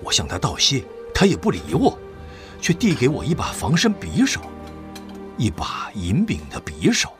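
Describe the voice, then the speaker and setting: An actor playing a weary, stoic wartime official who has survived torture, in a Mandarin audio drama. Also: male voice, mid-range voice, wildly swooping pitch, moderate pace, over music. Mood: recounting, puzzled.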